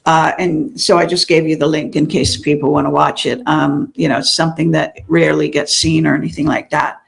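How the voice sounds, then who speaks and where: Gravelly-voiced rambling older woman. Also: deep voice, little pitch movement, a little fast. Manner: rambling, meandering, many fillers. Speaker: interview guest, show host. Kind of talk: casual interview chat.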